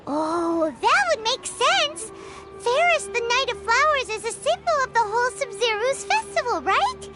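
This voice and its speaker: A tiny, chirpy companion with a very high, squeaky voice that bounces up and down, bossy and curious in a video game. Game music plays clearly behind her. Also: very animated, exaggerated voice. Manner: chirpy, pushy, quick to comment. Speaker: game character. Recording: game audio with background music.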